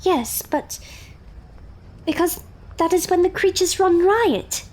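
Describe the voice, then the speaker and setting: A woman's voice: very high voice, takes it slowly, darkish tone. A woman playing a prim, anxious young lady in a period audio drama, speaking in a genteel, careful way. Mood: prim, concerned.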